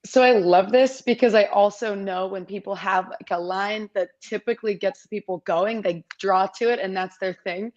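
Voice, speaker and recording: A woman in her twenties with a slightly raspy voice, chatting upbeat as a guest in an interview over a video call. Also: medium pitch, moderate pace, few pauses, darkish tone, muffled recording. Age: 20s. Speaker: competition MC, guest. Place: small indoor room.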